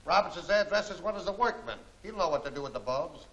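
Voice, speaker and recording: An actor playing a cool, scheming crook who gives gang orders in a 1940s film serial, matter-of-fact, on a hissy soundtrack. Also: male voice, fairly high pitch, animated, fast, a bit bright, dull audio. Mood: commanding. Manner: clipped, matter-of-fact, commanding.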